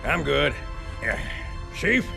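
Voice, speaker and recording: Brief: tough veteran sergeant, slow gruff drawl. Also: male voice, a little high, big pitch swings, over music, heavily acted. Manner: gruff, laconic.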